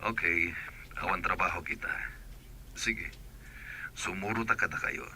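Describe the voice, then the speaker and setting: A man's voice: low voice, fairly even intonation, a little slow, pushed and bright, a little hiss or hum, full character voice. A man playing a persistent, flirtatious suitor in a radio drama, with an Ilocano accent. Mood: coaxing.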